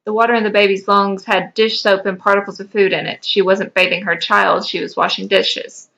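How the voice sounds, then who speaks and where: An earnest male investigator read by a woman in fan fiction, laying out facts briskly and surely. The voice is bright with some fry, on a slightly dull mic. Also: medium pitch, little pitch movement. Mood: brisk, assured.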